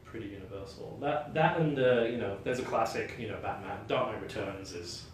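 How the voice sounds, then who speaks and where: A man's voice: on the low side, a little fast. Rambling English expat man, echoey room. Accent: English expat, mixed colonial. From England. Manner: rambling, many fillers, laughs often. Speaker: game designer guest. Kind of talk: casual interview chat. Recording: echoey room, distant mic, faint noise.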